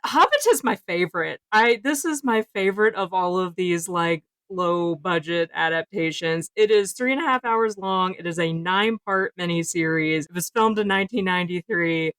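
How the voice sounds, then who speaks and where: A woman's voice: lowish voice, normal speed, hardly any pauses, a bit bright, dry recording. A woman co-hosting a podcast in casual panel chat, speaking informally and with emphasis. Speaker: podcast co-host.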